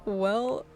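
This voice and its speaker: A woman livestreaming a video game, chatting casually and reacting aloud as she plays. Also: medium pitch. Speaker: game streamer. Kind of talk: casual livestream gameplay chat.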